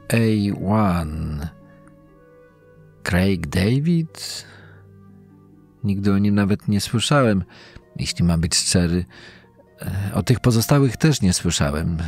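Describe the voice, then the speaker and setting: A man's voice: on the low side, a little mellow. An actor playing a hesitant male quiz show contestant who thinks aloud in a dramatized true-crime podcast. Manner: halting, thinking aloud, drawn-out pauses.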